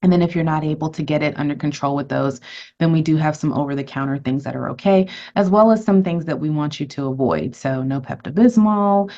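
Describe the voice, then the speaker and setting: A woman's voice: deep voice, a little fast, mellow, lo-fi sound. A woman physician giving an educational webinar to patients, friendly and conversational as she answers questions and runs polls.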